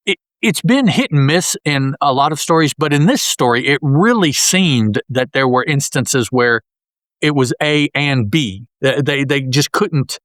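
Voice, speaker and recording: A man's voice: mid-range voice, lively intonation, a little slow, a bit bright, dry recording, studio quality. A man co-hosting a podcast, describing comic panels in a casual, rambling way with many restarts. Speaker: podcast co-host. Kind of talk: casual comic-book discussion.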